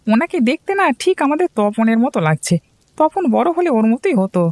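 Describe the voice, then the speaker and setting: A woman's voice: high voice, very animated, brisk, darkish tone, dry recording. A woman voicing a chatty, curious village tea-stall keeper in a cartoon story.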